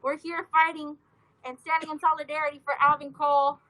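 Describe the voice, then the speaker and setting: Female activist from California, high pitch, bright edge, urgent earnest delivery. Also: flat delivery, brisk, poor audio. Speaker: activist, victim's sister. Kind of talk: rally speech.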